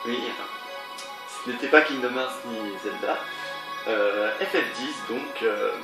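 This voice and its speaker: A man's voice: medium pitch, slow. A man with a stuffy nose from a cold, giving rambling, hesitant commentary on a game he is playing, with many fillers and restarts. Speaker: let's play gamer. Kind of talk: casual gameplay commentary. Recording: roomy, distant mic, game music behind. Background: game music.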